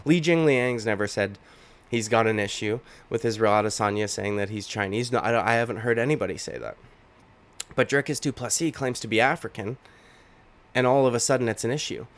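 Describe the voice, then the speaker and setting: A man's voice: medium pitch, brisk. Indignant man ranting. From Canada. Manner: indignant, ranting, repeats himself. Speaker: MMA commentary YouTuber. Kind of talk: opinionated reaction commentary.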